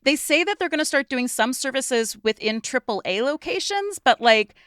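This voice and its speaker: A woman's voice: high-pitched, lively intonation, moderate pace, fairly bright tone, clear, resonant tone, dry recording, studio quality. A woman co-hosting a podcast, discussing local news in a conversational, explanatory way from personal experience. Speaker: podcast co-host.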